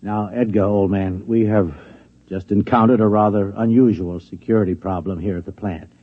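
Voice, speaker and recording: Man playing flustered american security boss, stammery, bit husky, muffled old radio drama. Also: lowish voice, steady tone, moderate pace, natural voice. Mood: urgent, pleading. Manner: stammering, insistent, coaxing. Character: flustered, pleading security chief of an atomic laboratory. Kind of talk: 1950s radio drama acting.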